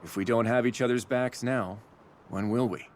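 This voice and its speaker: A man's voice: mid-range voice, fairly even intonation, normal speed, close to an everyday voice. An actor playing a steadfast, teasing male bird-folk warrior in a video game, with a wry, assured manner. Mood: earnest.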